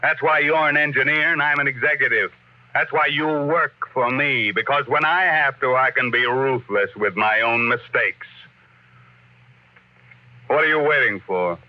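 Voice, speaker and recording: Actor as a domineering 1950s radio tycoon. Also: male voice, medium pitch, normal speed, dry recording, close to an everyday voice. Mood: ruthless, curt. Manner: commanding, clipped, domineering. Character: ruthless, power-hungry male business tycoon, imperious and blunt. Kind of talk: 1950s radio drama acting. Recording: dull, lo-fi 1950s radio recording.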